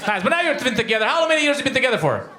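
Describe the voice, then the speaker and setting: A man's voice: very high voice, sing-song, rapid-fire, sharp tone, reverberant. A man doing stand-up crowd work in a comedy club, teasing audience members and laughing through his jokes.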